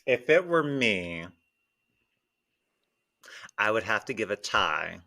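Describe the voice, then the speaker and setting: Moderator: man, low bright voice, lively intonation, placating and repetitive, casual chat. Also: takes it slowly, vocal fry. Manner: hesitant, placating, repeats himself. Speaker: panel moderator.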